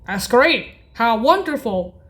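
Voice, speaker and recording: A woman giving an informal music tutorial in a halting, repetitive way, correcting herself as she goes. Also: fairly high pitch, sing-song, fairly bright tone. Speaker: music practice video host. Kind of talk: informal spoken tutorial naming keyboard voice effects.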